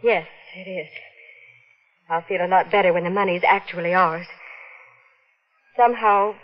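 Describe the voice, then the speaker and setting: A woman's voice: fairly low pitch, steady tone, moderate pace, natural voice. A woman playing an anxious, reluctant accomplice and wife in old-time radio melodrama, on a muffled vintage recording. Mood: uneasy.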